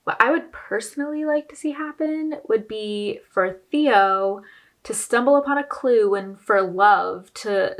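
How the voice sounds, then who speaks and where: A woman's voice: mid-range voice, gravelly, fairly bright tone. A woman hosting a reaction video, giving casual, opinionated commentary on a TV show in a conversational way.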